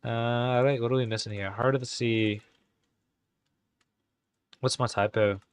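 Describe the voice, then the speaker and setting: A man's voice: medium pitch, steady tone, unhurried, smooth. A young man live-streaming in a put-on Irish accent that slips now and then, chatting casually and muttering to himself while he types game commands.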